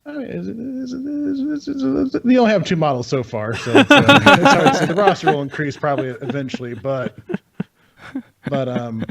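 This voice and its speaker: A man's voice: high-pitched, big pitch swings, normal speed, creaky, fairly bright tone, slightly rough. A man chatting casually about video games as a podcast co-host, rambling, swearing freely and sounding incredulous. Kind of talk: casual video game chat.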